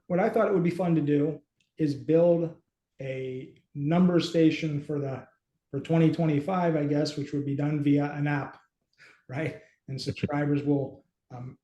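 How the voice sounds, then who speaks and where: Echoey, bright-voiced man thinking aloud. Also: on the high side. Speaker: host and app company team member. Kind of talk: casual screen-share walkthrough. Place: small indoor room, video call. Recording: roomy, echoey home room.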